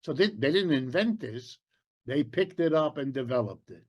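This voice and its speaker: Man, German native speaker, history lecture, unhurried, slightly high voice, emphatic pauses. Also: darkish tone, muffled recording. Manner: slow, deliberate, emphatic phrasing with pauses and fillers.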